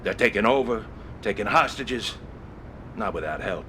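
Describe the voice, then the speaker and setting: An actor playing a hot-tempered, suspicious old-guard mobster in a video game, gravelly, blunt and accusing. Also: male voice, lowish voice, animated, normal speed, slight background noise. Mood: agitated. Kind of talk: video game cutscene acting. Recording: loud music behind the dialogue.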